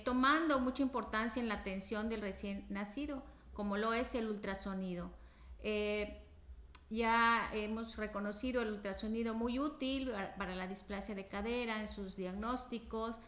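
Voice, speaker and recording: A woman's voice: on the high side, fairly bright tone, echoey room. A woman host introducing a guest speaker at an academic conference, reading formally with frequent fillers.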